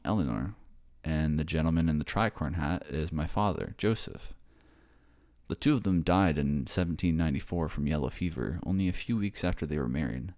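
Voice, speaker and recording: A man playing an earnest, very deep-voiced boyfriend. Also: darkish tone. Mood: patient, explaining. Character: earnest young man raised by ghosts, reassuring his partner. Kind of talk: ASMR roleplay audio drama acting.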